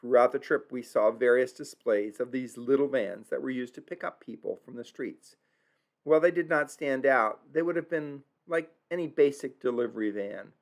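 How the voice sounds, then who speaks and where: Gravelly-voiced man narrating a trip. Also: mid-range voice. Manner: earnest, reflective, admits stumbling over German words. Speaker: travel vlogger narrating a tour.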